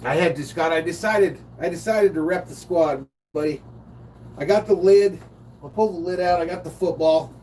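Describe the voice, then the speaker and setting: A man's voice: on the high side, moderate pace, a little mellow. Chatty male stream host, distant mic. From California. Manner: chatty, reads viewer comments aloud. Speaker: live-stream play-by-play host. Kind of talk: casual play-by-play and chat reading. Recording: distant mic with faint noise.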